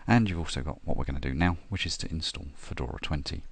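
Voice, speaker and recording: A middle-aged man talking through a software install, step by step, with frequent ums and uhs. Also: extremely low pitch, quick pace, slightly rough, dry recording. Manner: steady step-by-step explaining with frequent "uh" and "um". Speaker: software tutorial presenter. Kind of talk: screen-recorded tutorial narration.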